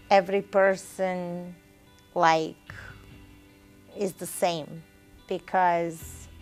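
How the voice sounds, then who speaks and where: A woman from Bulgaria with a Bulgarian accent, answering interview questions in halting English and correcting herself as she goes. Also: lowish voice, creaky. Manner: halting, simple learner's English with self-corrections.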